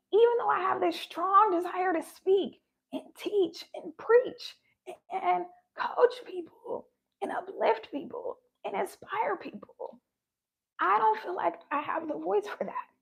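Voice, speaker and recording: A woman's voice: very high voice, a little slow, gravelly, low-quality recording. A woman giving a heartfelt spiritual talk, her voice shaky and sounding close to tears. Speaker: spiritual vlogger.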